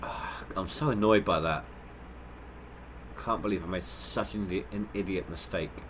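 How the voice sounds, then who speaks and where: A man's voice: low-pitched, moderate pace, noisy background. A man with a head cold, sounding nasal, giving rambling, stop-start commentary on his gameplay livestream. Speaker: gaming livestreamer.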